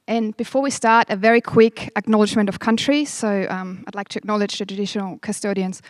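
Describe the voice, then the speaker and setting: A woman's voice: mid-range voice, fairly even intonation, fairly quick, no room sound. A woman in her thirties with a German accent hosts a workshop, speaking in a chatty, self-deprecating way with many ums. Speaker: event host. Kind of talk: welcome speech at a workshop. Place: event room.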